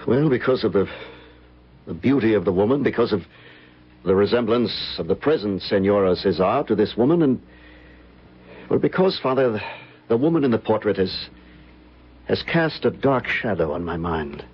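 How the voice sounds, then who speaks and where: A man's voice: lowish voice, animated, moderate pace, long pauses, slightly rough. A man playing a troubled, headstrong guest in an old radio mystery, speaking and narrating with dramatic intensity. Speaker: lead actor and narrator. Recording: muffled old radio broadcast.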